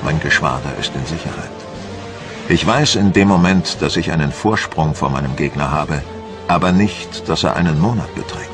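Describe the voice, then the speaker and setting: An actor playing a wary, proud French admiral of the Napoleonic wars, narrating in a measured, formal first person for a documentary audio drama. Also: male voice, rumbling low, over music. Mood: wary, grave.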